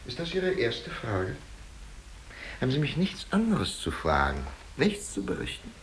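A man's voice: medium pitch, wildly swooping pitch, unhurried, slight background noise, rough recording. An actor playing a formal, reproachful man in a radio drama, speaking in emphatic, rhetorical phrases. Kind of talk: German radio play dialogue.

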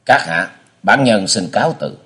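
A man's voice: fairly low pitch, big pitch swings, moderate pace, fairly bright tone. Man voicing a solemn, commanding castle warrior. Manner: formal, commanding.

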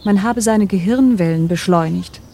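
A woman's voice: medium pitch, moderate pace, warm tone, slight background noise, lightly acted. A woman playing an astronaut's worried, exasperated partner in a German radio play, earnest in manner. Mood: worried, baffled.

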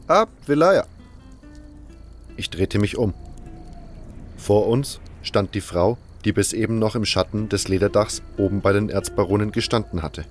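A man's voice: fairly low pitch, steady tone, moderate pace, a little mellow, soft background music. A man narrating a fantasy audio drama in the first person, reading from a script.

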